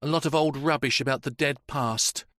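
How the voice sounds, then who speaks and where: A man's voice: a little high, fairly even intonation, normal speed, a bit bright, no room sound, clean studio sound, pitched above the performer's own voice, natural voice. A man voicing a gossipy old housekeeper woman. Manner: gossipy, talkative. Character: elderly chatty woman housekeeper.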